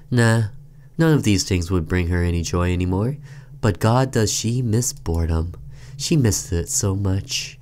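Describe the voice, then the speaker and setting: A man's voice: sits low, a little mellow, slight background noise. A man doing casual let's-play commentary, reading a game's narration aloud and reacting informally as he goes. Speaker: gaming video host reading a game's text aloud.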